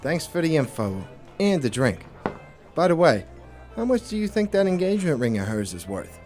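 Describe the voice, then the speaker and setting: A man playing a hardboiled private investigator in a noir audio drama, terse and deadpan, with music behind him. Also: a little high, normal speed. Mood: wry. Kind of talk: noir radio drama with first-person narration.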